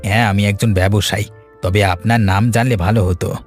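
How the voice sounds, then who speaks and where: A man's voice: lowish voice, steady tone, brisk, natural voice. A man voicing a grateful, generous travelling merchant in a cartoon story. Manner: polite, earnest. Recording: music bed behind.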